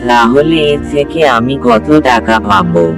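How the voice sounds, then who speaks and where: A man playing a patient village blacksmith father who teaches in a measured way in a cartoon moral story. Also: mid-range voice, expressive, close to an everyday voice. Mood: patient, instructive. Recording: music laid loudly under the voice.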